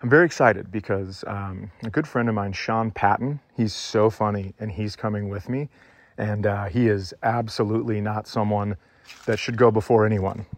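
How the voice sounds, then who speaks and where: A man's voice: fairly low pitch, no room sound. A man hosting a podcast in a casual comedic chat style, with an effusive, teasing, plain-spoken manner.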